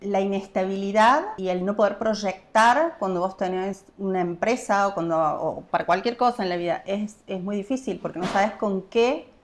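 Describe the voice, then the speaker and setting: Relaxed Argentine woman. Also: mid-range voice, a little hiss or hum. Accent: Argentine Spanish. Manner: relaxed, searching for words, pausing often. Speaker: guest, property investor. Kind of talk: casual podcast interview.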